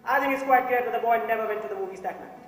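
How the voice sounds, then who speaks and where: A man's voice: extremely high pitch, very fast, soft background music. A young man playing a methodical, insistent juror in a stage drama, laying out his case point by point. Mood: insistent, certain. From India. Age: young adult. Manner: emphatic, point-by-point recital. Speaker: stage actor in a college play. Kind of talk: theatrical courtroom-drama dialogue. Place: theatre stage before an audience. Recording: echoey stage hall, distant microphone.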